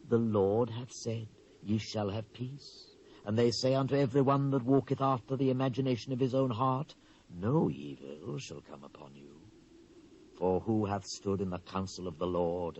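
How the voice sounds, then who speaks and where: Stern British prophet, muffled recording. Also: male voice, medium pitch, warm tone. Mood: wrathful, commanding. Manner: solemn, declamatory, swelling and dropping for emphasis. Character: stern, wrathful male Hebrew prophet speaking for God. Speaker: Bible narrator. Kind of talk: dramatised King James scripture reading. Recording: dull lo-fi cassette transfer.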